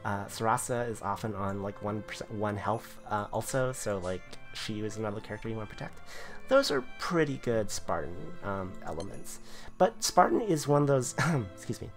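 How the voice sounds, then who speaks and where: A man reviewing a game class in casual video commentary, his voice going hoarse, rambling with lots of fillers. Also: fairly low pitch, lively intonation, moderate pace, soft background music. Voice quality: gravelly, voice wearing thin. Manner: rambling, filler-heavy explanations. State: losing his voice.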